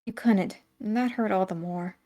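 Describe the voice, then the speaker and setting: A woman's voice: medium pitch, moderate pace, mellow. A woman co-hosting a read-aloud, moving between story narration and casual banter. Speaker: co-host of a read-aloud series.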